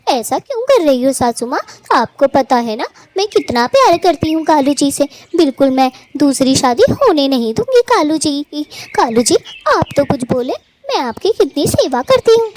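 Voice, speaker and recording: A woman's voice: sits high, very animated, moderate pace, hardly any pauses, a little mellow, slight background noise. A woman voicing Gauri, an upset young wife bird in a cartoon, pleading with her mother-in-law and husband.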